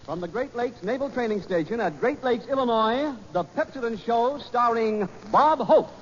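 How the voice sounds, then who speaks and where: Animated 1940s radio announcer, man. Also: very high-pitched, normal speed, noisy background. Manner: polished, emphatic salesman delivery. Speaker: radio announcer reading sponsor ads. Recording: muffled old radio recording, hall reverb.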